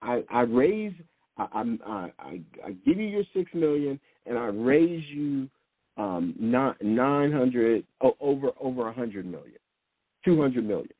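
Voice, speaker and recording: A man's voice: mid-range voice, lively intonation, unhurried, husky, no room sound. A man preaching informally in a rambling, blunt way, often repeating words and restarting. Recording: tinny phone-like sound, dry.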